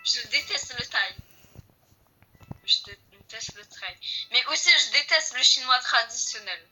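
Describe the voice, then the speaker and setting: A woman with a slight Portuguese accent talks casually over a game she is playing, and her tone is very bright and sharp. Her voice sits high, and she repeats her words as she chats with her viewers. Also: lively intonation, a little slow, faint music behind, low-quality recording. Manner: chatty, repeats herself, addresses viewers. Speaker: gaming video host.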